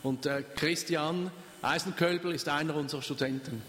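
High-voiced older pastor, echoing hall. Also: male voice, steady tone, a bit bright, a little hiss or hum. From Switzerland. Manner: speaks in short phrases, pausing for translation. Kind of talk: sermon with consecutive interpreter.

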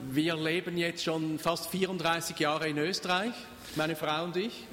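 An older man preaches in a high-pitched voice. He delivers his sermon in short phrases and pauses so an interpreter can follow. The long reverb of a church hall trails behind every line. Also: fairly even intonation, sharp tone, slight background noise. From Switzerland. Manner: speaks in short phrases, pausing for translation. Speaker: pastor.

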